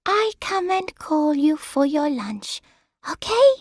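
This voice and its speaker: A woman reading the part of a young carer who speaks gentle, apologetic broken English, pitched higher than her narration. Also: big pitch swings, takes it slowly, no echo, clean studio sound, exaggerated voice. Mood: polite.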